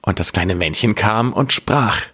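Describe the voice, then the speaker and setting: A man narrating a scripted fairy tale as a storyteller, in a measured, deliberate way. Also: fairly low pitch, a bit bright, slightly rough.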